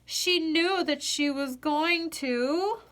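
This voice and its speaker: A woman storyteller reading a children's picture book aloud, expressively and deliberately, with dramatic pauses on key words. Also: high voice, a bit bright.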